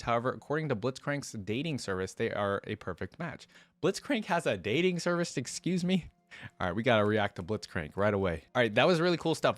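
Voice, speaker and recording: A man's voice: medium pitch, no room sound. A man hosting a reaction video, talking casually and earnestly like an enthusiastic fan.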